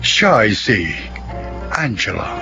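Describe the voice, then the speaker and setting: A man's voice: fairly low pitch, very animated, takes it slowly, vocal fry, highs cut off. Male radio drama announcer over music. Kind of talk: scripted dramatic narration.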